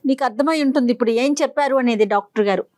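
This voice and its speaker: A woman's voice: sits high, very fast, darkish tone, no room sound, clean studio sound. A woman co-hosting a talk show, stepping in firmly to explain things to a caller. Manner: firm, interjecting. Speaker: co-host.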